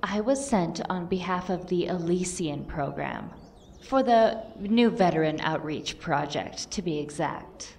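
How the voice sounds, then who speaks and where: A woman's voice: lowish voice, expressive, creaky, a little mellow, recorded from a distance, slight background noise. A woman playing a blunt, composed lieutenant in an audio drama, speaking formally and matter-of-factly. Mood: formal, persuasive. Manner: formal, measured, matter-of-fact. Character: blunt, composed female lieutenant recruiting for a program. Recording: off-mic voice under music.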